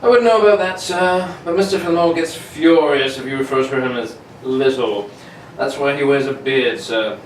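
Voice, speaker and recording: A man's voice: mid-range voice, normal speed, few pauses, lightly acted. A man playing a formal, polite, unflappable manservant in an amateur 1940s-style radio play. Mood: polite, confiding. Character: very tall, deferential, unflappable manservant. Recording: echoey room, distant mic, faint noise.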